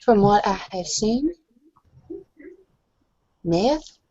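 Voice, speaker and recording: A woman's voice: mid-range voice, lively intonation, very slow, warm tone, dry recording. Hesitant woman, dry dull lo-fi audio. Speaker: tabletop roleplaying player. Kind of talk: casual in-character chat.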